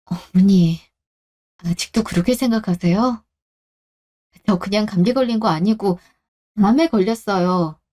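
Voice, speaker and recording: A woman's voice: a little high, dark tone, natural voice. A woman playing a polite but pointed young wife. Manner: polite and restrained, turning pointed. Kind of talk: Korean radio drama acting.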